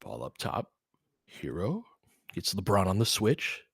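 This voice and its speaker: A man's voice: low voice, wildly swooping pitch, a little slow, vocal fry, breathy edge, no echo, studio quality. An American man giving casual live basketball commentary, conversational and analytical, often in clipped phrases. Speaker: host and play-by-play commentator for a fan stream.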